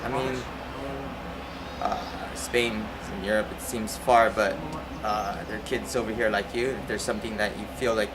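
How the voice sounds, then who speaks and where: Hesitant low-voiced man over loud noise. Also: steady tone, moderate pace. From Japan. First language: English. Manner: hesitant, tentative. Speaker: aid worker being interviewed. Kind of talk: informal interview answers. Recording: very noisy outdoor recording with traffic.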